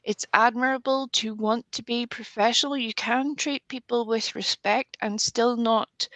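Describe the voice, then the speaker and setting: A woman's voice: fairly high pitch, steady tone, a little slow, a bit bright, dry recording. A woman giving forthright opinions in casual panel chat, with some swearing. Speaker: panelist on a livestream open panel.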